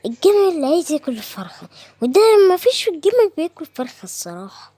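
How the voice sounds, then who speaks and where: A woman's voice: high voice, sing-song, racing, mellow, dry recording, voice pushed up. A woman with an Egyptian accent voicing a lazy, bossy male lion king who grumbles and gives orders. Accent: Egyptian Arabic.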